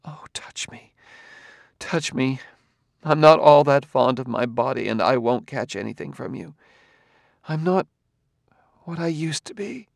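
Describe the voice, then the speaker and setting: A man's voice: mid-range voice, animated, a little slow, gravelly, long pauses, dry recording, clean studio sound, pitched above the performer's own voice. A man voicing an earnest, wounded, idealistic woman who pleads insistently in an audiobook novel. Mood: tearful, hushed. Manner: earnest, insistent, breaking into hushed speech.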